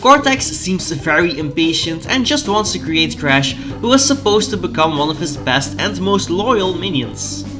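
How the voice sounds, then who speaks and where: A man's voice: sits high, lively intonation, a little slow, hardly any pauses, music in the background. A man in his twenties whose first language is Dutch, eagerly hosting a retro game commentary. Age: 20s. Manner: eager, fan-like. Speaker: YouTube host.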